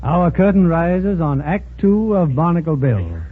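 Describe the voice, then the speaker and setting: A man's voice: on the high side, expressive, unhurried, husky. Muffled formal vintage radio host, man. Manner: theatrical, formal. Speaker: radio drama host and narrator. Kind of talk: formal 1940s radio narration. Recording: very muffled 1940s radio recording.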